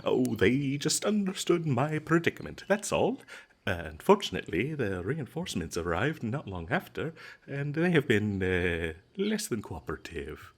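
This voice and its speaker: A man playing a smooth-talking captured adventurer in a comedic fantasy audio roleplay, stammering and flattering with flowery politeness. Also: medium pitch, moderate pace. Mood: glib, evasive. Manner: hesitant, stammering, ingratiating, flowery and polite phrasing.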